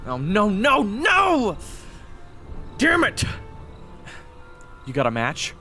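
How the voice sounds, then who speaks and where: Guy acting as a protective young sword fighter, higher forceful voice, brusque and urgent, audio roleplay. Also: wildly swooping pitch, drawn-out words, soft background music, heavily acted. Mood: alarmed.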